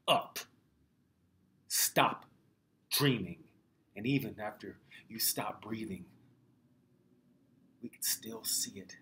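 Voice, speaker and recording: An American man reciting spoken word poetry with rhythmic, deliberate phrasing. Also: medium pitch, a bit bright. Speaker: poet.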